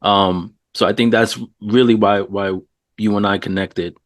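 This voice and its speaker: A man's voice: fairly low pitch, steady tone, a little slow, no echo, clean studio sound. A man from New York City hosting a casual interview, talking loosely, swearing and laughing often. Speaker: host.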